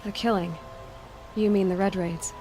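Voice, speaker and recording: An actress playing a young, plain-spoken heroine in a video game, direct and sceptical yet compassionate. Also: female voice, medium pitch, fairly even intonation, normal speed, dark tone, slight background noise, lightly acted, low-quality recording. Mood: questioning.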